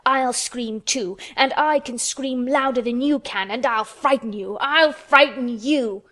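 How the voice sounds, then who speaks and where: A woman voicing a fierce, hot-tempered young girl in a storybook, contradicting and commanding in a shouting manner. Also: fairly high pitch, normal speed, natural voice. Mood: furious.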